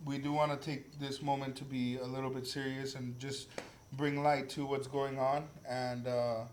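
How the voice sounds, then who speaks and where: A man's voice: medium pitch, little pitch movement, moderate pace. A man in his early twenties co-hosting a casual podcast, informal and sweary, feeling his way through his sentences. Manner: informal, swearing, hesitant phrasing. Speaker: podcast host. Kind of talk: casual group podcast chat. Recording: echoey room, faint background noise.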